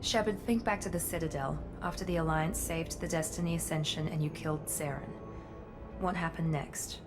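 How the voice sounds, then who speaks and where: A woman's voice: low-pitched, normal speed, music in the background, close to an everyday voice. A woman playing a cool, self-assured, ruthless covert operative in a video game, with a clipped, haughty, businesslike delivery. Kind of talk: video game cutscene acting.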